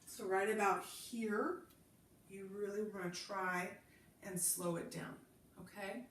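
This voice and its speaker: A woman with a stuffy head from a cold, calmly leading a Pilates class and cueing each movement while she demonstrates. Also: medium pitch, slow, reverberant, distant mic, a little hiss or hum. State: congested from a cold. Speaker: Pilates instructor. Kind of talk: guided exercise class instruction.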